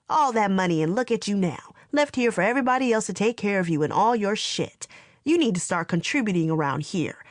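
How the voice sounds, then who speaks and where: A woman voicing a resentful middle-aged aunt who complains and confronts in a sharp, raised voice. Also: mid-range voice, dry recording, clean studio sound, natural voice.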